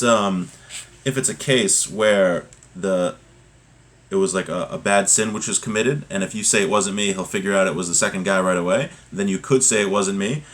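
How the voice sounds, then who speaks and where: A man with a fairly low voice teaches a religious text in an informal way. He reads a little, explains it in plain words, and goes back over each point in new phrasing. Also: fairly bright tone. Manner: explanatory, repeats and rephrases points. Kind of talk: informal text lesson, reading aloud then translating and explaining.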